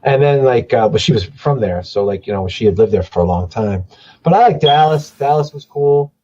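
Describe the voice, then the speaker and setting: A husky, hoarse man in his forties talks loosely on a podcast, his voice wearing thin from a coming cold. He curses freely, stacks up restarts and rambles on. The sound is dull and lo-fi. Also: mid-range voice, animated, brisk, dark tone. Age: 40s. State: losing his voice, starting a cold. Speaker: podcast guest. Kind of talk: casual chat.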